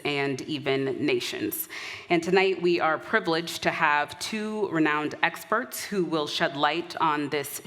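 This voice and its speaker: Low-voiced woman introducing the speakers, reads off a script, echoey hall. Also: fairly bright tone. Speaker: event host. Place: large event hall. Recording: hall reverb.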